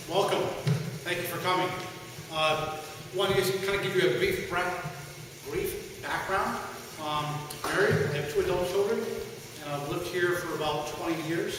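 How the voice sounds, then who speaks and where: Loud man speaking in an echoey hall. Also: fairly high pitch, steady tone, normal speed, background noise. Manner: earnest, plain-spoken, many ums and uhs. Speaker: political candidate. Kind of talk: candidate's introductory speech. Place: large hall at a town meeting. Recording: echoey hall recorded from far off.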